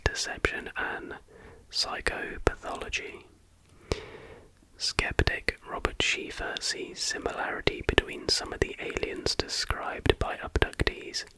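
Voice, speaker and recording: An ASMR host speaking entirely in a breathy whisper, chatty and informal. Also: poor audio. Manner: chatty, informal, joking asides.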